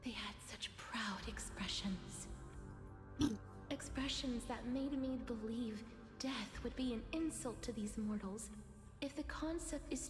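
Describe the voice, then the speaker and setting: Game role: solemn, grieving young woman, high hushed voice, formal delivery, echoey with music behind. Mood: sorrowful, conflicted. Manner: hushed, breathy, formal, deliberate. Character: solemn, sorrowful young woman tied to death. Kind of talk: dramatic video game voice acting. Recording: echoey, long reverb, music underneath.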